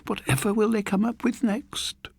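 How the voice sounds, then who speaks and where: A man voicing a hesitant, fussy old lady in a breathy, flustered way for an audiobook story. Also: sits high, level tone, darkish tone, no echo, voice pushed up, heavily acted. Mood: amused disbelief. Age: older. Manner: flustered, halting.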